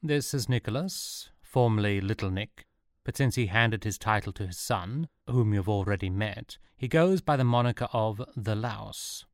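A man's voice: lowish voice, moderate pace, no room sound, clean studio sound, natural voice. A man voicing a proud, middle-aged Swiss merchant, a slick hustler in an audiobook story. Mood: formal, proud.

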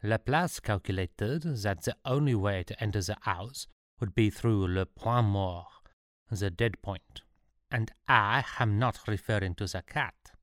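A man's voice: fairly low pitch, a little slow, a bit bright, no echo, clean studio sound. A man voicing a pompous, domineering male emperor. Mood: explaining.

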